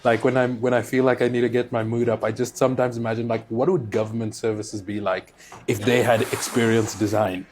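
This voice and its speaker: Relaxed South African man hosting. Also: medium pitch, a little fast, slight background noise. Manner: relaxed, musing, frequent agreeing backchannel. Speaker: podcast host. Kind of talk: conversational interview. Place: conference.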